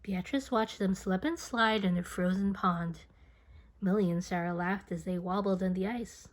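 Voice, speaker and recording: A woman reading a picture book aloud to children in an expressive, dramatic storytelling manner. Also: mid-range voice, normal speed. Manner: expressive, dramatic, with whispers and playful sound effects.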